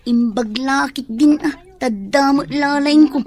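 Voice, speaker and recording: A woman's voice: high-pitched, slow pace, crowd noise. A chatty student girl. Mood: teasing. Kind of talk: radio drama acting.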